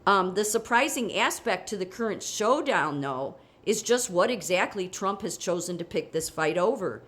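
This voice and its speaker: A woman's voice: medium pitch, lively intonation, moderate pace, fairly bright tone. A woman with a cold and a sniffle, chatting casually about the news and reading an article aloud, with many restarts and asides. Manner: chatty, frequent restarts and asides, asks "okay?". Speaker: political commentator.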